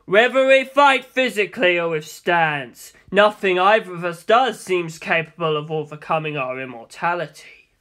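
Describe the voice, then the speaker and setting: A man voicing a flamboyant, gloating immortal vampire villain with slow, loud, theatrical proclamations in a story reading. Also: high-pitched, lively intonation.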